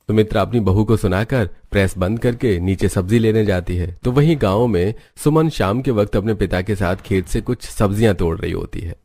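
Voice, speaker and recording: A man's voice: on the low side, normal speed, hardly any pauses, mellow, no room sound. A man reading scripted narration for a moral story.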